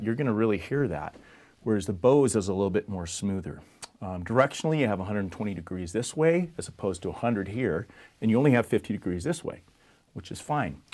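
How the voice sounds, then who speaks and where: Low-voiced man, casual product explainer. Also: darkish tone. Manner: plain-spoken, conversational, explanatory. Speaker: music store presenter. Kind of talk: casual product comparison talk to camera.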